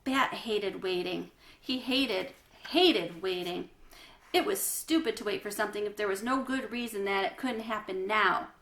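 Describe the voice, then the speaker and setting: A woman reading a children's story aloud as a storyteller, with expressive delivery. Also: medium pitch, a little slow. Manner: explains hard words in asides. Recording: roomy, echoey room sound.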